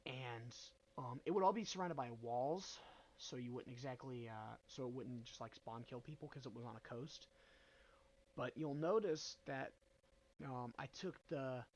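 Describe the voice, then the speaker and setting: A man's voice: mid-range voice, muffled recording, a little hiss or hum. Young man with a cold, rambling. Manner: rambling, hesitant, many ums and self-corrections. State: nasal from a cold. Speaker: gaming channel host. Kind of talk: casual game walkthrough.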